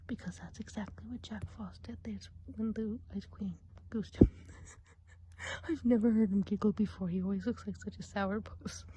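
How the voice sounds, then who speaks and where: A woman's voice: mid-range voice, mellow, lightly acted. A woman playing a gossipy female witch of the woods in an ASMR role play, whispering confidingly while giving an eye exam. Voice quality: mostly whispered.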